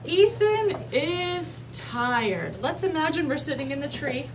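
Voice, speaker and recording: Woman, kindergarten teacher, high voice, read-aloud lesson, frequent check-in questions, noisy distant recording. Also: sing-song, takes it slowly. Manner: simple instructional phrasing with frequent check-in questions. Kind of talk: interactive read-aloud lesson to young children. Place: kindergarten classroom with children. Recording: distant mic, clearly noisy room ambience.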